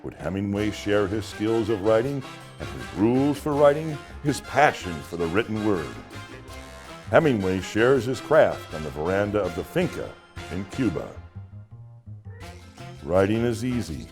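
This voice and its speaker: A man narrating literary short stories as their author, in a dramatic audiobook style with deliberate phrasing and emphatic pauses. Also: lowish voice, sing-song, faint music behind.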